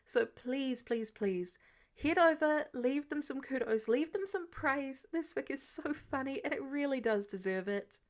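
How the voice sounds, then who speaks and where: High-voiced woman reading a story aloud. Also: expressive, unhurried. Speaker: podfic narrator reading fan fiction. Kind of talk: expressive storytelling narration.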